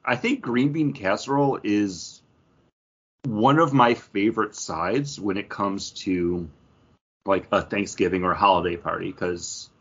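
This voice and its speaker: A man's voice: lowish voice, a little slow, fairly bright tone, slightly rough. A man hosting a podcast in a casual, conversational style. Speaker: podcast host. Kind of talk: casual chat, ranking foods. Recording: dull, muffled audio.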